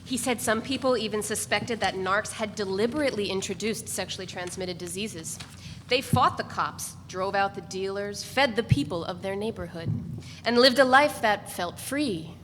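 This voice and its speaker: A woman narrating a novel at a live dramatic reading in a measured, even way. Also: mid-range voice. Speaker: narrator reader. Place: event space. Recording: reverberant live room, faint noise.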